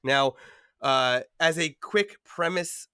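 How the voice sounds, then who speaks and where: A man's voice: a little high, takes it slowly, bright, forceful tone, clear, resonant tone, dry recording, studio quality. A man hosting a podcast, sounding a bit nasal from a cold, chatting casually with lots of fillers and easy laughs. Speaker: podcast host.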